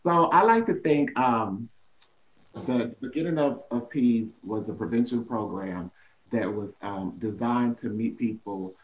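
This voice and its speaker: A man's voice: mid-range voice, unhurried. A man on a panel explaining a prevention program over a video call, earnest and hesitant, with many fillers. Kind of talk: casual panel answer. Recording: dull lo-fi video call audio.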